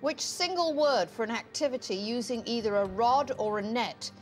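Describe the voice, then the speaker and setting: Woman quiz host, slightly high voice, curt and cutting, television studio, faint music behind. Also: normal speed. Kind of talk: scripted quiz hosting.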